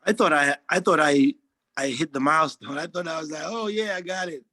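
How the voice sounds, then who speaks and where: A man's voice: fairly high pitch, pushed and bright, no echo. A man, speaking earnestly in a testimonial interview and often restarting his sentences. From Haiti. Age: 40s. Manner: earnest, halting, frequent restarts. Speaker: interviewee, social worker.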